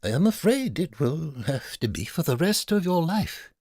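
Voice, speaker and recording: Comic audio story: grave, fussy old-fashioned physician, played by a man, formal diction, animated melody, dry recording. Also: a little high, normal speed, dry recording. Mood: earnest. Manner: solemn, formal, theatrical.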